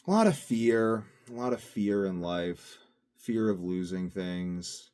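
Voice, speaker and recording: Lively, lowish-voiced man rambling on camera. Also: takes it slowly, smooth. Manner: musing, drifting between topics with frequent fillers. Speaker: vlogger. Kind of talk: casual rambling monologue.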